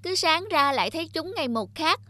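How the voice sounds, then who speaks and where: A woman voicing a cheerful young child talking fondly with a parent in an animated folktale. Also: high voice, brisk, dry recording. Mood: happy, wondering.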